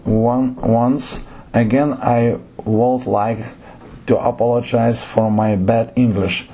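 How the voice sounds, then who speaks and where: A man with a Lithuanian accent and Lithuanian as his native language, giving a lecture on theatre directing. He reads out numbered points haltingly and keeps correcting himself. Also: mid-range voice, fairly even intonation, warm tone, a little hiss or hum. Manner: halting, frequent self-corrections and restarts.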